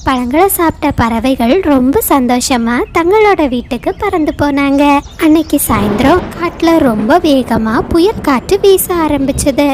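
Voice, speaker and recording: A woman's voice: high-pitched, expressive, moderate pace, noisy background. A woman reading scripted narration for a children's story as the storyteller.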